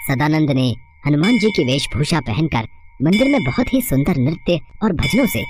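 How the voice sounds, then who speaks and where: A woman voicing a humble, devout older male temple priest in a moral story, gentle and reverent in manner. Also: low voice, sing-song, normal speed, background noise. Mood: respectful. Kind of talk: devotional story dialogue.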